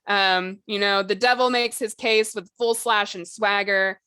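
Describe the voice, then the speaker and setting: A woman's voice: mid-range voice, fairly even intonation, measured pace, sharp tone, clean tone, no room sound. Woman podcast host chatting about writing, informal, really dry close recording. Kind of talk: casual discussion of writing craft.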